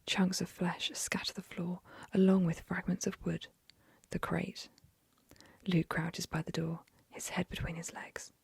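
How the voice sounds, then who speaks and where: A young woman whispering an ASMR story reading into a close mic, softly, with gentle fillers. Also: fairly low pitch, little pitch movement, unhurried, gravelly, husky, dry recording. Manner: soft whisper, chatty with fillers after the story.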